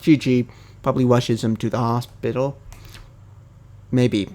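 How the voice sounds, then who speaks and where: A man's voice: medium pitch, flat delivery, a little slow, dark tone. A young man from the United States talking casually through a fan theory, rambling with many fillers and restarts. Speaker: YouTuber.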